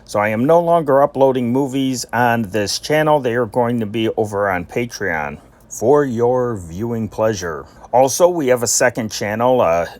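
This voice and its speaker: Male host introducing old radio shows. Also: on the low side. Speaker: channel host.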